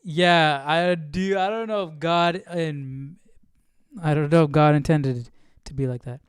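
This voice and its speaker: A man's voice: a little high, measured pace, clear, resonant tone, no room sound, clean studio sound. A man hosting a livestream, chatting casually and musing jokily as he reacts to a reading. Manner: informal, musing, jokey. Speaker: livestream host.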